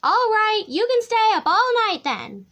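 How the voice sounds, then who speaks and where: Lady doing a fed up animal parent in a kids book, high voice, scolding. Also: normal speed. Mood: exasperated. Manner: scolding, emphatic. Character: stern, exasperated grown-up jungle animal parents.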